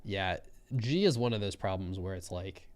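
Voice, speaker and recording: A young man giving relaxed, musing live commentary on a programming contest, often trailing off mid-thought. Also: low voice, normal speed.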